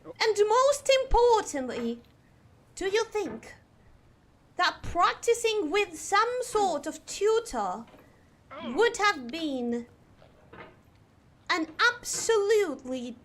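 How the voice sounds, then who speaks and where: A young woman streaming live, chatting in an exaggerated put-on posh British accent with a theatrical, drawn-out delivery. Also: very high-pitched, sing-song. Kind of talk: casual live-stream chat.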